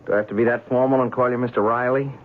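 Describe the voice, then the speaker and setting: An American actor playing a cheeky young newspaper reporter in a radio drama, teasing and easygoing. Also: male voice, medium pitch, steady tone, very fast, a little hiss or hum, natural voice. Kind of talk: 1970s radio drama acting. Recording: tinny narrow-band old radio recording.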